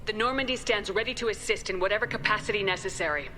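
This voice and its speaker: Woman as a bright, forceful starship commander. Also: medium pitch, fast, slight background noise, lightly acted. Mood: firm, composed. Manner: firm, formal. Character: resolute human female starship commander, diplomatic defender. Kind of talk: dramatic video game acting.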